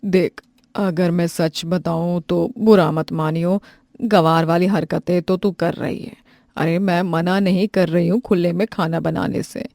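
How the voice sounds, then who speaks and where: A woman voicing a blunt older mother-in-law who lectures her daughter-in-law, a bit lower than her own voice. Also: vocal fry, warm tone, no room sound, clean studio sound.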